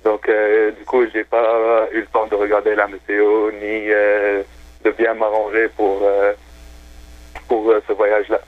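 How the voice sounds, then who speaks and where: A man's voice: lowish voice, monotone, unhurried, no echo. Man on a tinny phone line. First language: Arabic. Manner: enthusiastic, hesitant with many fillers. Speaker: phone-in guest. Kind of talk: casual radio phone chat.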